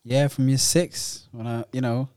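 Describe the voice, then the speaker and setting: A man's voice: medium pitch, flat delivery, a little slow, darkish tone, clear, resonant tone, no echo. A man who grew up partly in the Netherlands, chatting casually and rambling with fillers and restarts. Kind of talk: casual chat.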